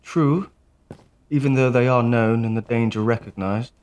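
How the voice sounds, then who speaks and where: A man's voice: medium pitch, moderate pace, a little mellow, slight background noise, close to an everyday voice. A man playing a sober, polite magazine writer in a vintage audio drama. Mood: agreeing.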